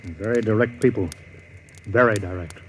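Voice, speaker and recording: An actor playing a stern, resolute starship commander with an authoritative, deliberate manner in a 1950s radio drama. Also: male voice, on the low side, close to an everyday voice. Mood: stern, grave. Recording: noisy vintage 1950s radio recording.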